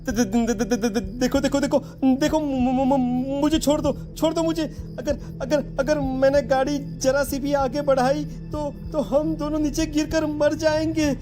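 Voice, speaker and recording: A woman's voice: sits high, steady tone, unhurried, hardly any pauses, over music. A woman voicing a startled, pleading young man in a cartoon horror story. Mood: terrified, pleading. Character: frightened young man out partying, cornered by a ghost.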